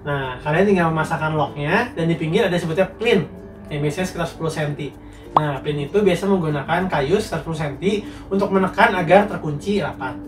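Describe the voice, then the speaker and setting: A man's voice: a little high, brisk. A young man with a congested, nasal voice from a cold, casually answering viewers' questions about building materials as a contractor. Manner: slangy, explanatory, reads out viewer questions. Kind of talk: casual Q&A monologue. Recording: roomy, mic at a distance, faint music.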